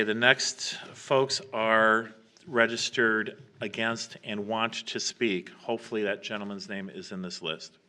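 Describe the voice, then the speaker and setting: A man chairing a public meeting in an informal, halting way, reading out names and written comments. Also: low-pitched, pushed and bright, slight background noise. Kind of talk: running a public meeting, reading emails aloud. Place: council chamber, public meeting.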